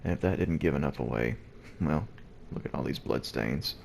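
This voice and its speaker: A man quietly giving gameplay commentary at home early in the morning, keeping his deep, low voice hushed so he won't wake anyone. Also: normal speed, vocal fry. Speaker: let's play host. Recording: noisy background hiss.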